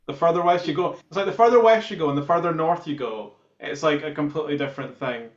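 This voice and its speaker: A man's voice: on the high side, fast. A Scottish man who plays guitar in a band, chatting casually and telling anecdotes as an interview guest. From Scotland. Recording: muffled, roomy video-call sound.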